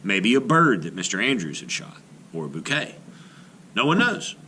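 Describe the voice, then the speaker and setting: A man reading aloud an art lesson for children as a teacher, with expressive, clearly phrased delivery. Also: lowish voice, normal speed, a little hiss or hum, poor audio.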